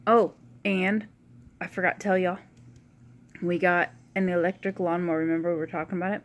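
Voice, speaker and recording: A woman with a quiet, low voice chatting casually in a rambling home vlog. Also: level tone, brisk. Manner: rambling, soft-spoken, uses y'all. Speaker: vlogger. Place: at home indoors.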